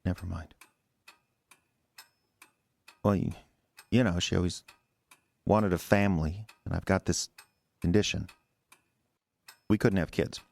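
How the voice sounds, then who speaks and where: An actor in an audio drama playing a resentful, defensive husband who stammers and rambles in a halting, wounded way. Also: male voice, sits low, fairly even intonation, vocal fry, a little mellow, exaggerated voice. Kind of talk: naturalistic audio drama acting.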